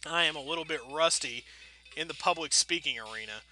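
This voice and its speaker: Bright, high-voiced man doing game commentary. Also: a little fast, soft background music. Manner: rambling, playful, folksy mild exclamations. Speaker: gameplay video host.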